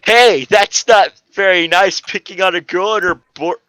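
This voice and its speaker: A man's voice: high voice, normal speed, bright, forceful tone, no echo, pitched above the performer's own voice. Man doing a playful girl, slightly raised voice. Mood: teasing. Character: cutesy, teasing, easygoing girl.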